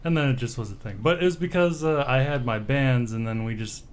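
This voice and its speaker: A man's voice: mid-range voice, brisk. A man hosting a casual podcast, asking rambling questions full of fillers. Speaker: podcast host. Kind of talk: casual interview chat.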